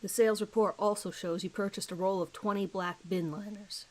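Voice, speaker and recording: A woman's voice: mid-range voice, steady tone, brisk, a little mellow, close to an everyday voice. A woman voicing a middle-aged British male police detective who questions a suspect in a formal, clipped, probing manner in an audio horror story. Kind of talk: dramatized police interview recording.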